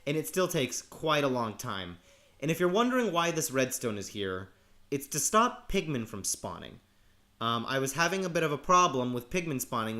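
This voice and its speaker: A man narrating his survival game casually while sick, his voice gravelly and cracking, rambling with halting restarts. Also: mid-range voice, a bit bright. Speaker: let's play host. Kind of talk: casual gameplay commentary.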